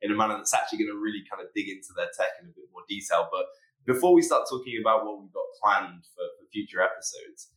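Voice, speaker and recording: A man hosting a podcast, talking in a measured, self-deprecating way and often repeating words as he goes. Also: lowish voice, fairly bright tone, reverberant, low-quality recording. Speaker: podcast host. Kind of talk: podcast chat.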